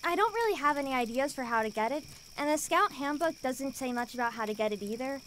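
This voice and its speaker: A woman's voice: high voice, animated, fast, a bit bright, slight background noise. A woman playing a vague, forgetful young scout child in an audio drama. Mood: earnest.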